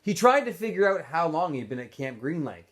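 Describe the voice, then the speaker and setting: A man reading a story aloud as a teacher would, in an expressive, animated narrating style. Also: on the high side, fairly quick. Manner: animated, acts out dialogue in shifting voices.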